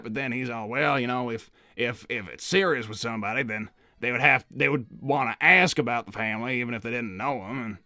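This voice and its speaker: A man's voice: mid-range voice, bright, forceful tone, no echo, clean studio sound. A man voicing a genial, graying cartoon hedgehog who rambles hesitantly and apologizes as he is interviewed. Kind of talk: improvised in-character interview.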